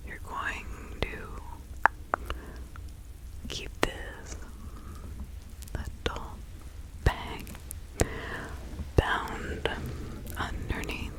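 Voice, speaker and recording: A woman whispering slowly and breathily in an ASMR roleplay, playing a kindly, protective witch who gives careful instructions. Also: rough recording. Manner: slow, deliberate, instructing with soft repetition. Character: kindly, protective 17th-century witch woman giving counsel.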